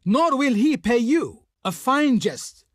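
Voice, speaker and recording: A man's voice: very high voice, very animated, slow, no echo, clean studio sound, exaggerated voice. A man playing a haughty, flowery envoy of an Egyptian Pharaoh in a video game, speaking in a pompous, declamatory manner. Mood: haughty, dismissive. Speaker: game voice actor playing a diplomat. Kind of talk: theatrical scripted game dialogue.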